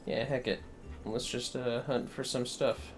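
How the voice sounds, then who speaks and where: A man hosting a livestream, chatting casually and thinking aloud in a rambling, halting way as he plays a game. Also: medium pitch, little pitch movement. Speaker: livestream host. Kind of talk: casual chat while playing a game. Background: music.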